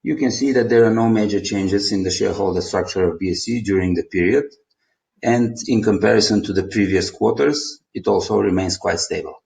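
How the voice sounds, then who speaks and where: A man's voice: fairly low pitch, a little fast, gravelly, darkish tone. A man with a Bulgarian accent formally reads out a scripted financial presentation in a roomy, echoing recording. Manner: formal, reading out figures. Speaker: company presenter.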